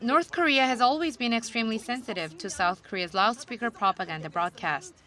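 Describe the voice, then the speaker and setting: A woman's voice: a little high, a little fast, a bit bright. A woman reporter reading a scripted news feature narration.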